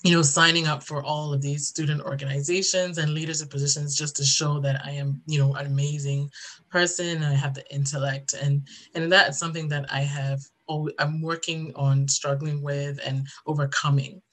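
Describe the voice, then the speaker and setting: A woman's voice: deep voice, little pitch movement, normal speed, fairly bright tone. A woman, telling her personal story earnestly as an interview guest. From Ghana. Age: 30s.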